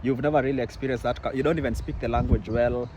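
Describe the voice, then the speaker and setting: A man's voice: medium pitch, fairly even intonation, fast talker, noisy background. A young man from Kenya hosting a casual chat in a probing, questioning manner. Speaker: vlog host, interviewer.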